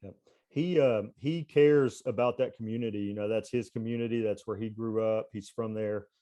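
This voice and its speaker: A man's voice: lowish voice, steady tone, moderate pace, warm tone. A man in his thirties with a mild Texas accent, chatting as a guest and rambling with fillers and restarts. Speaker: guest, baseball coach. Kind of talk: casual conversation.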